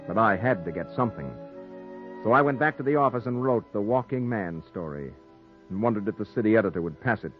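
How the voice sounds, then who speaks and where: A man acting as a dogged American noir reporter, pitched low, telling his crime story like a 1940s radio thriller. The recording is narrow and muffled, with music behind him. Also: animated, fairly quick, close to an everyday voice. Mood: brooding, ominous.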